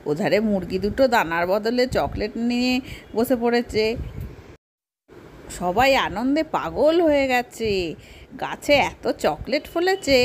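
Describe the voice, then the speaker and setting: A woman's voice: a little high, slight background noise. A woman storyteller narrating a children's doll story.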